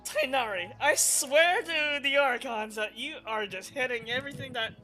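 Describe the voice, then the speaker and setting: A woman's voice: high voice, normal speed, bright, forceful tone. Casual woman game streamer over music. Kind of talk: casual live playthrough commentary.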